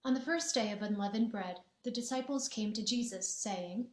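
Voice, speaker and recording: A woman narrating a gospel passage in a church dramatic reading, steady and clear. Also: medium pitch, moderate pace. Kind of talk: scripture narration. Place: church sanctuary. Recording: echoey church room.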